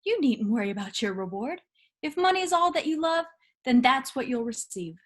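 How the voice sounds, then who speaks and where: A woman's voice: high-pitched, very animated, normal speed. A woman playing a proud, resolute young rebel princess in a script read, earnest and commanding. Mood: indignant. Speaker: actor in a table read. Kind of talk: virtual script table read.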